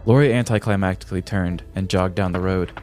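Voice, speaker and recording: An American man reading a scripted comedic story in a deliberate, theatrical storyteller's manner. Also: low voice, darkish tone, soft background music. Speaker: podcast host telling a story.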